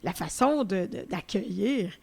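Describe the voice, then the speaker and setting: A woman hosting an interview podcast, speaking with a Québécois French accent, effusive and quick to laugh. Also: mid-range voice, expressive, vocal fry, husky. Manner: effusive, laughs often, many restarts. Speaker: podcast host. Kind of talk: warm conversational interview.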